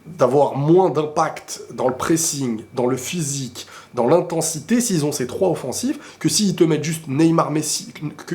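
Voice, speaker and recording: A man with a head cold and a sore throat talks football straight to his viewers, excitable and opinionated. His voice sits a little high for a man, and he keeps drifting into rushed, rambling asides. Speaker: football video creator answering viewer questions. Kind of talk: casual opinionated football talk to camera.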